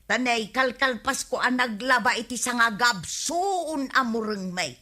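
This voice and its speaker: A woman's voice: fairly high pitch, animated, a little slow, sharp tone, exaggerated voice. A woman with an Ilocano accent playing a complaining, scheming housewife in a radio comedy drama, pleading and emphatic. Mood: chatty, weary. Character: complaining, scheming housewife who hand-washes laundry, cajoling husband.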